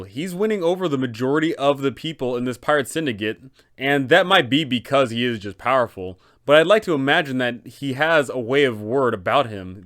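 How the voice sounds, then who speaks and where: A man's voice: mid-range voice, fairly bright tone. A man hosting an explainer for game masters, giving guiding commentary on role-playing. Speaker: host, tabletop game guide.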